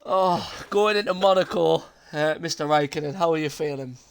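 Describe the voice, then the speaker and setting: A man's voice: a little high, normal speed, creaky, smooth. A young man hosting post-race interviews on a racing league stream, chatty and jokey. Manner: chatty, laughs a lot, jokey. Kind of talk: casual live-stream interview chat.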